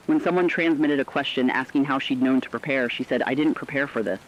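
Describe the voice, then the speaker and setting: A man narrating scripted human-interest stories for a radio broadcast in a solemn, measured way. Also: mid-range voice, slight background noise. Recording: dull lo-fi radio sound.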